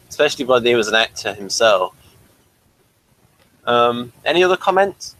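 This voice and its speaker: A man hosting a conversational TV review in a measured, orderly way. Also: medium pitch, bright, forceful tone, poor audio. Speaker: host of a TV review show. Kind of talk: conversational review, partly reading aloud from a guide.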